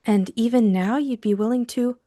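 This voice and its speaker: A woman voicing a timid, troubled young female client in an audiobook, hesitant and pleading, with a hushed, trembling delivery. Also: fairly high pitch, moderate pace, no room sound, studio quality, voice pushed up, natural voice. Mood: eager, sheepish. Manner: hushed, hesitant, quivering, stammering. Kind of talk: audiobook character acting.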